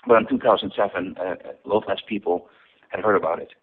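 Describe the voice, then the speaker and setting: A man from the Netherlands, a company founder giving an interview. He speaks in a measured way with many ums and uhs. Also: low voice, slightly rough. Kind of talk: interview over the phone. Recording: tinny phone line.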